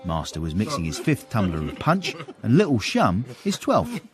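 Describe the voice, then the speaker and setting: Animated, wry British manservant. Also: male voice, fairly low pitch, moderate pace, music in the background. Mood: wry, confiding. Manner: wry, confiding. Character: wry, discreet Victorian manservant telling his tale. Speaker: narrator. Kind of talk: scripted comic radio drama narration.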